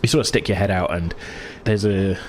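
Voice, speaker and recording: A man improvising narration as game master of a tabletop game, feeling for words in a halting way. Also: low-pitched, a little hiss or hum.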